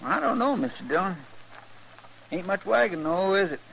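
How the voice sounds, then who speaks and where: An actor playing a nosy, deferential marshal's sidekick with an in an old-time radio drama. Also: male voice, sits high, big pitch swings, moderate pace, gravelly, full character voice. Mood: suspicious. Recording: muffled, noisy old radio recording.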